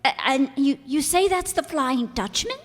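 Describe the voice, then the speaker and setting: An actress playing a curious, innocent, excitable young daughter in a radio play, full of eager exclamations. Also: female voice, sits high, normal speed. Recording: echoey hall stage recording.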